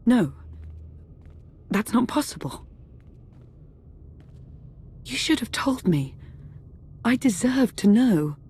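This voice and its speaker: An actress playing a forceful, indignant woman companion with a husky voice in a video game. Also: fairly high pitch, very animated, takes it slowly, pauses often, exaggerated voice. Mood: shocked, hurt. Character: indignant, forceful female companion demanding truth.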